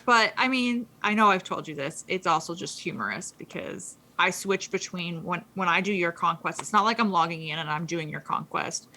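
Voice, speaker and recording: A woman co-hosting a casual podcast, chatting quickly with lots of fillers and restarts. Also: fairly low pitch, sharp tone. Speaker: co-host. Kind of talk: casual gaming podcast chat.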